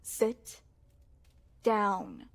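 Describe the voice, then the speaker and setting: A woman's voice: medium pitch, huge pitch swings, very slow, creaky, full character voice. A woman playing a possessive yandere princess in an ASMR roleplay, speaking in coy, regal, formal phrases with sweet menace. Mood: sweetly menacing. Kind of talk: ASMR roleplay, scripted monologue to the listener.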